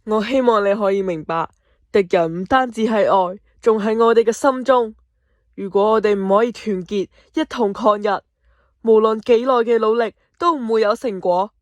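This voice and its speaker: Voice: mid-range voice, normal speed, no echo, lightly acted. Resolute male patriot general, amateur actor, declamatory and earnest, medium register, radio drama. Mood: earnest, determined.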